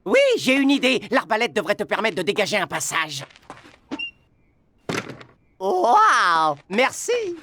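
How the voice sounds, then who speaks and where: An actor playing an eager, grateful little animal villager, helpful and earnest. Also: male voice, high-pitched, very animated, takes it slowly, pauses often, heavily acted. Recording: loud game noise behind.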